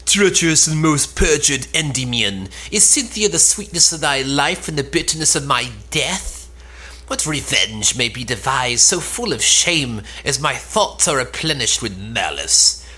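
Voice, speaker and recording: Girl acting as a jilted proud goddess, old stage english, bright sharp voice, swoops around a lot. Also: rumbling low, animated, a little slow, slightly rough, exaggerated voice. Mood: bitter, vengeful. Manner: declamatory, archaic phrasing. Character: spurned, vengeful earth goddess, proud and scornful. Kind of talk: amateur cold read of Elizabethan play.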